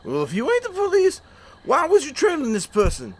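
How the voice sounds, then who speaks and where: A man's voice: very high-pitched, wildly swooping pitch, fairly quick, exaggerated voice. A man playing a simple-minded, timid, childlike hillbilly with a put-on rural drawl in a comedy audio drama. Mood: naive. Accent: rural Southern hillbilly drawl, put on. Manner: naive, dopey.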